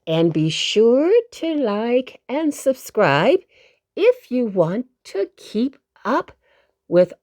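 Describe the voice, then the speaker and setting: A woman's voice: fairly high pitch, big pitch swings, drawn-out words, darkish tone, no room sound, clean studio sound. A woman narrating a children's storybook expressively, speaking directly to young listeners with dramatic emphasis.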